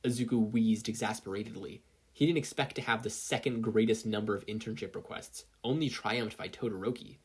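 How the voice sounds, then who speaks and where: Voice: medium pitch, fairly quick, slightly rough. A narrator reading fan fiction aloud expressively, as a story with character voices. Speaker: podfic reader, narrator.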